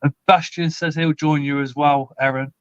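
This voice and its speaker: A man's voice: on the high side, steady tone, moderate pace, clear, resonant tone, no echo, lo-fi sound. A man, hosting a casual live stream, reading out comments and swearing casually. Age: 40s. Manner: chatty, swears casually, laughs often. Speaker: livestream host.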